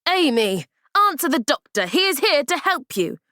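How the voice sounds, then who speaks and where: A woman's voice: mid-range voice, a little slow, smooth, no echo, clean studio sound, pitched above the performer's own voice, close to an everyday voice. A woman voicing a harsh, sneering ship's nurse who snarls her words. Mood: stern.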